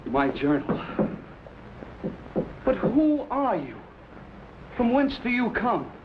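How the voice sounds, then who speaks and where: An earnest, anxious medieval explorer in an old serial. His voice is high and theatrical, with old-fashioned phrasing, on a muffled, very noisy soundtrack. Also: very animated, slow, full character voice. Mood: grateful, puzzled. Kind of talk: 1950s adventure serial acting.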